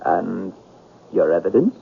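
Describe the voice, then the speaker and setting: An actor playing a skeptical but courteous police sergeant in a 1970s radio drama, blustery and questioning. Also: male voice, low voice, big pitch swings, unhurried, vocal fry, full character voice. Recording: muffled, lo-fi old radio recording.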